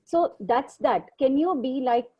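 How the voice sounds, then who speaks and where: A woman's voice: sits high, animated, slow, darkish tone, husky. A woman with an Indian accent and Hindi as her mother tongue, hosting an informal online group discussion in a questioning, encouraging way. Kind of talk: informal facilitated discussion, mixing English and Hindi. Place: online video call.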